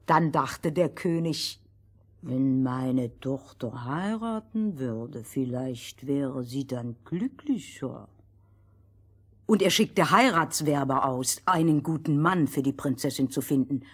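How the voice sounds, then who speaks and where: A woman telling a fairy tale as a storyteller, expressive and theatrical, in a playful scripted style. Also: extremely low pitch, takes it slowly, breathy edge.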